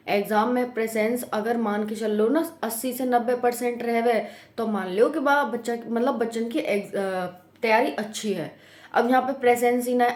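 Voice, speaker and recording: Female coach, western UP Braj accent, higher voice, informal and direct explainer style, recorded in a very echoey room. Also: a little mellow. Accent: Braj, western Uttar Pradesh. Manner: colloquial, direct, checks in with tag questions. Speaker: exam coaching YouTuber. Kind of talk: informal explanatory talk to students.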